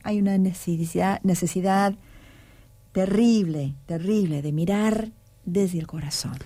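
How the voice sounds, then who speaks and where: Mellow-voiced woman radio host. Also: fairly low pitch, unhurried, no room sound. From United States. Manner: reflective, gently persuasive, with frequent fillers. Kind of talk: radio talk show. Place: radio studio. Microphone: studio microphone.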